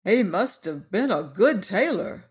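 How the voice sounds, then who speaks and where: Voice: medium pitch, very animated, a little slow, pitched above the performer's own voice. A reader voicing a cheerful male scarecrow who is proud of his brains. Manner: proud and approving.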